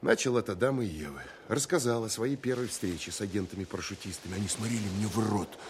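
A man's voice: lowish voice, fast talker, noisy background, lightly acted. An actor playing a seasoned, exasperated Soviet counterintelligence man in an audio drama monologue, wry, colloquial and emphatic. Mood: wry exasperation.

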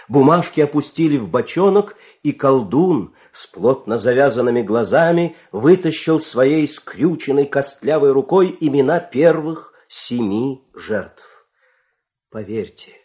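A man narrating a fairy-tale audio play in an expressive, scripted storytelling style. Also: medium pitch.

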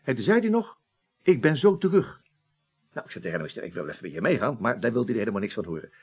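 Hesitant, husky man under questioning. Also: fairly low pitch, sing-song, quick pace, a little mellow. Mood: puzzled recounting. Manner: hesitant, rambling, defensive. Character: evasive male office superior being questioned as witness. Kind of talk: Dutch radio play acting.

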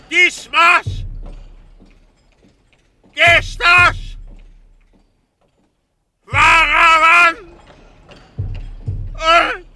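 An actor playing a stern Roman governor who proclaims a death verdict to a crowd in a radio drama, in a shouted, slow and measured delivery. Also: male voice, extremely high pitch, heavily acted. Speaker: Roman procurator.

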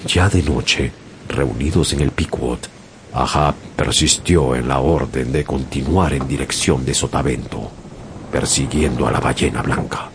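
An actor narrating as a young sailor who survived a shipwreck, in grave, measured storytelling. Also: male voice, a bass voice, noisy background. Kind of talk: dramatic audiobook narration. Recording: loud music bed under narration.

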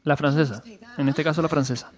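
A man's voice: on the high side, fairly even intonation, fast. A man presenting a radio show, casually retelling things in a conversational storytelling way and voicing quotes as he goes.

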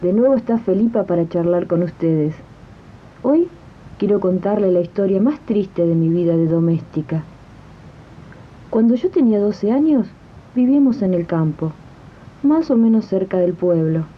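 Casting: woman to play a plain-spoken maid telling her story, medium pitch, muffled 1980s radio drama recording. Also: lively intonation, normal speed, husky. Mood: confiding. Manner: confiding storyteller. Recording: muffled noisy archive radio tape, rumble.

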